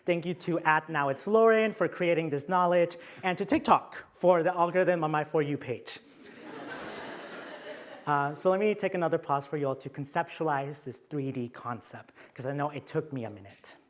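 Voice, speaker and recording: A man's voice: fairly high pitch, normal speed. Playful Mexican-accented man presenting. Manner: playful, self-deprecating asides. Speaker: conference presenter. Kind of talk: conference talk with slides.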